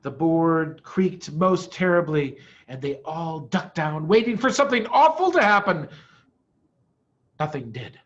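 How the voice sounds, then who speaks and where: A middle-aged man reading a children's story aloud expressively, with deliberate dramatic emphasis. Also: fairly high pitch, very animated. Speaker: storybook reader, head of school. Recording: dull, muffled lo-fi audio.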